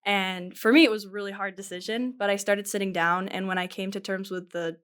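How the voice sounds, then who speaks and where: A woman's voice: mid-range voice, fairly even intonation, brisk, a bit bright, clean tone. A young woman from western New York, a nursing student, talking through her choices in a thoughtful, chatty way. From western New York, USA. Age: young adult.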